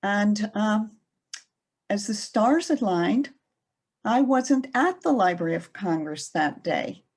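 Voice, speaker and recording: A middle-aged woman librarian giving a presentation, speaking slowly with many pauses and fillers. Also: medium pitch, lively intonation, darkish tone. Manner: slow, hesitant with many fillers. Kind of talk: presentation talk.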